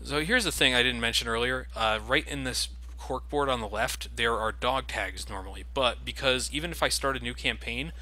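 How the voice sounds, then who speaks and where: A man's voice: medium pitch, moderate pace, hardly any pauses, pushed and bright, low-quality recording. A young man casually commenting over game cutscenes, joking and critical of the game as the host. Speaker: let's play host.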